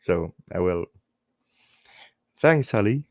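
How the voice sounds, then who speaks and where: A man with a French accent and French as his first language, hosting a live stream in halting English, speaking earnestly and unscripted in short phrases with repeated words. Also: on the low side, expressive, no echo, studio quality. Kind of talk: earnest unscripted talk to online viewers.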